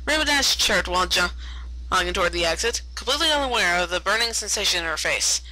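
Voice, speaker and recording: A woman's voice: lowish voice, expressive, brisk, pushed and bright, no echo, rough recording. A woman narrating a story aloud as an amateur reader, often stumbling over words and correcting herself. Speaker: amateur fan fiction reader.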